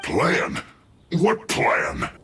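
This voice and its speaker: A man's voice: medium pitch, huge pitch swings, drawn-out words, sharp tone, a little hiss or hum, heavily acted. A man voicing a hapless, indignant enemy soldier in a video game, protesting in an exasperated, whiny way. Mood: bewildered, protesting. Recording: echoey, music behind the voice.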